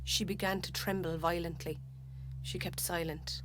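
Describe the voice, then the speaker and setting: A woman with an Irish accent narrating a literary short story in a measured, dramatic way. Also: on the low side, fairly even intonation, a bit bright, a little hiss or hum, rough recording.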